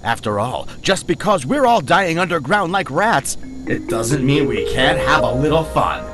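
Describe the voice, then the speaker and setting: An actor playing a slick, gleefully morbid announcer in an audio drama, with a theatrical, hype-building delivery. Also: male voice, on the high side, expressive, moderate pace, lightly acted. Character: slick, gleefully morbid male livestream announcer of a doomed world. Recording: loud music under voice.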